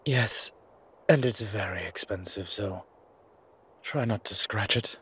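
Casting: male actor as age-old vampire father, very raspy and breathy, slow, halting, protective, for audio drama. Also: fairly low pitch, pushed and bright, exaggerated voice. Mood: exhausted, wry. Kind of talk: audio roleplay acting.

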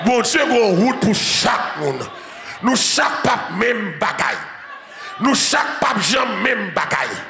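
A man's voice: high voice, big pitch swings, slow pace, sharp tone, a faint crowd. A man in his forties with a Haitian accent, preaching a sermon in a church with repetitive, chanting emphasis. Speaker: pastor. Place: large church sanctuary with congregation. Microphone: handheld mic. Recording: echoey church hall, organ music.

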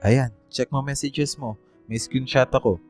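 A man's voice: medium pitch, steady tone, measured pace, darkish tone, soft background music, lightly acted. A man playing a casual, nosy young gamer friend in a Filipino radio drama. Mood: curious.

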